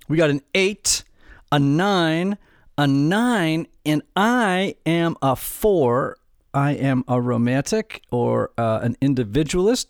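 A middle-aged man hosts a relaxed family podcast, his voice gravelly and a touch higher than most men's. He keeps things upbeat and jokey, wandering into asides with fillers. The sound is close and dry. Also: no room sound. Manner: upbeat, joking, rambling with fillers. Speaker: podcast host. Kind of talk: casual family podcast chat.

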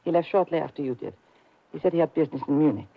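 An actress playing a suspicious, efficient sanatorium nurse, brisk and formal, in a 1950s radio drama. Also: female voice, sits low. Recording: tinny narrow-band old radio.

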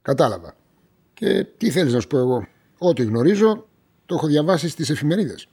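A defensive, curt shop owner: very animated intonation, husky tone, radio crime drama. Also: male voice, fairly high pitch, heavily acted. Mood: guarded, curt. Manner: curt, dismissive, colloquial. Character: busy, brusque, defensive male auto-parts shop owner.

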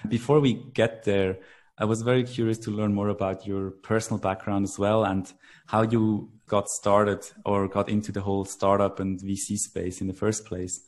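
A man with a slightly low voice hosts a podcast interview. He asks friendly, curious questions and sometimes hesitates or restarts mid-phrase. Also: level tone, normal speed, a little mellow. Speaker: podcast host.

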